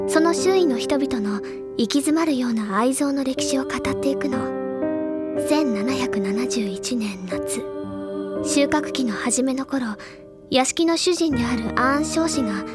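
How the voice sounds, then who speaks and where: High-voiced, eloquent bookish schoolgirl, music behind. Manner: articulate, dramatic, recounts like a storyteller. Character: earnest bookish schoolgirl, senior student, probing and eloquent. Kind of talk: Japanese audio drama acting, literary storytelling. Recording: loud background music under voice.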